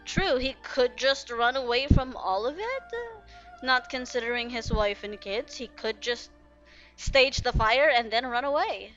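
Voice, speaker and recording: A woman's voice: on the high side, unhurried, a bit bright, dull audio, soft background music. A woman doing casual game playthrough commentary, chatting and musing aloud as she reacts to the characters. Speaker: game streamer.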